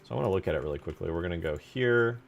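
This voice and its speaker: A man's voice: low voice, animated, rapid-fire, creaky, highs cut off, a little hiss or hum. A man giving casual, unscripted commentary as he works on a game mod, thinking aloud in informal, slangy phrasing. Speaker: game modder hosting a development stream.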